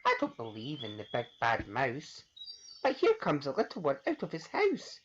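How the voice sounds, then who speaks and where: A woman voicing a brave young female Gruffalo child who speaks boldly in a storybook reading. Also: rumbling low, huge pitch swings, moderate pace, highs cut off, a little hiss or hum, heavily acted. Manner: bold, a bit bossy.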